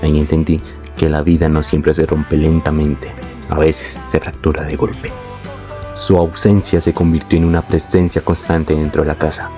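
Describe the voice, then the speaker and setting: A young man reading a scripted, reflective personal narration in a measured, literary way. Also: a bass voice, level tone, dark tone, soft background music. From born in Venezuela, moved to Colombia. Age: young adult. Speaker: narrator of his own life story.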